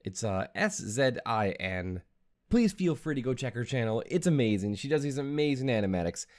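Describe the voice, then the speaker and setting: A man's voice: medium pitch, normal speed. A man in his thirties chatting casually and upbeat to viewers as a reaction video host in a home studio. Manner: animated, upbeat. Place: small home studio room.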